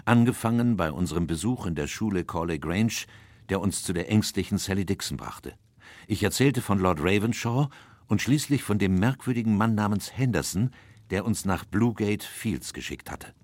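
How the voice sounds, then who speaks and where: An actor playing a loyal, worried Victorian gentleman in a German radio crime drama, earnest and measured, with a husky voice. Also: lowish voice, lively intonation, no echo. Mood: grave, measured. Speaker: narrator and character in detective radio play.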